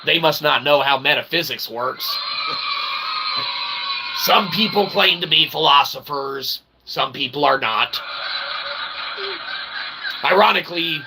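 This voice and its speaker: A man's voice: fairly high pitch, slow, long pauses. A man hosting a live stream in a rambling, wordy, mock-formal way, often checking in with short tag questions. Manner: wordy, pseudo-formal phrasing with frequent "okay?" tags. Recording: clear background noise, room ambience.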